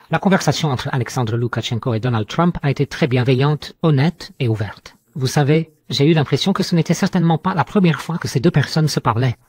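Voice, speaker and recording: A man delivers scripted news narration in a formal, measured manner. His voice sits at an average male pitch with a husky, less polished texture. The sound is dry, with no room around it. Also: darkish tone, no room sound. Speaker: news reader.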